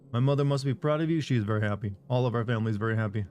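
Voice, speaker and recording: A man's voice: medium pitch, darkish tone. Regular guy streamer, mid-range voice, jokes at his own expense while reading chat; faint music bed. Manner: rambling, self-deprecating joking with chat. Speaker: game streamer. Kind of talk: casual live-stream chat, reading out viewer messages.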